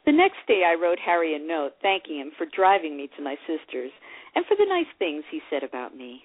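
Woman acting older divorced lady fondly telling her love story, lowish voice, really animated. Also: brisk. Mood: fond, composed. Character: warm-hearted older divorced woman fondly remembering a rekindled romance. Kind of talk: dramatic memoir reading, first-person narration. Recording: dull, lo-fi radio-like sound.

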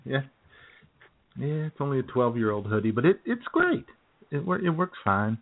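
A man's voice: mid-range voice, big pitch swings, moderate pace. Laid-back male radio host, tinny sound. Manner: laid-back, chuckling banter. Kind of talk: casual radio chat. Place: home studio in a small town. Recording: tinny phone-like line.

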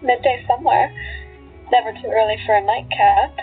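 A woman's voice: on the high side, moderate pace, lightly acted. An actress playing a brazen, hard-drinking, flirtatious woman in an audio drama, cool and teasing. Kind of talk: naturalistic audio drama acting. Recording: muffled narrow-band sound, faint music bed.

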